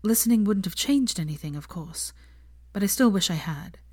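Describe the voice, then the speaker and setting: A woman reading an audiobook voices a pregnant young woman, prim and earnest, with a soft, lilting delivery. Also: mid-range voice, animated, moderate pace, husky, no room sound, studio quality. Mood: apologetic, emotional. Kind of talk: acted audiobook dialogue.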